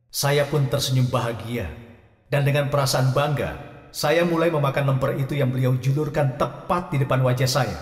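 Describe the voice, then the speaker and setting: A man's voice: mid-range voice, lightly acted. A man playing earnest Ken, echoey and distant. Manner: heartfelt, solemn storytelling. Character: grown son Ken, earnest and devoted. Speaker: narrator telling his own story. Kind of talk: audio drama with narration. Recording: roomy echo with long reverb, distant, music behind.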